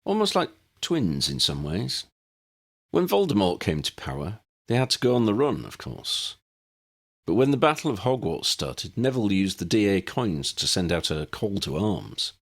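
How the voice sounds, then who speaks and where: A man voicing an earnest grown man in an audiobook, telling his story in a halting, reflective way. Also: on the low side, very animated. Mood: sombre, reflective. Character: earnest grown man recalling a lost school friend.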